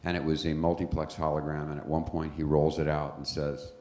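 A man with a very deep voice politely offers a correction from the floor, hesitant at first. His voice rings out in a roomy, echoey hall. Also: level tone, a little fast, mellow. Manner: hesitant, polite, fumbles with the microphone. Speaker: audience member. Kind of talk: question-time correction.

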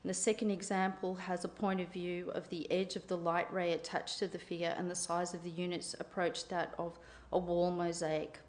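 A woman reading out an academic paper at a conference in a formal, measured way, then answering questions with effusive thanks. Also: fairly low pitch, darkish tone. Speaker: conference speaker.

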